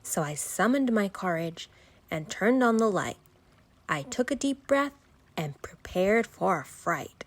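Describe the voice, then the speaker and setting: Slow, expressive woman storyteller. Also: medium pitch, no echo. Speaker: children's story reader. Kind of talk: expressive bedtime read-aloud in rhyme.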